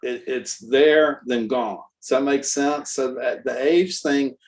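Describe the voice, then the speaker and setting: Sixties man, loose easygoing storyteller, mid-pitched voice, talks around his point with fillers. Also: slow. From Texas. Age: 60s. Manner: rambling, relaxed storyteller with many fillers. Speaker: guest artist and musician. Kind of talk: casual conversational interview.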